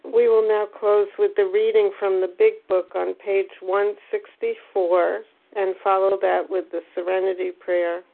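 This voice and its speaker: A woman leads the meeting in a slow, deliberate voice with a gravelly edge, and her words come across formal and measured. She is heard over a tinny phone line. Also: medium pitch, little pitch movement. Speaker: meeting leader.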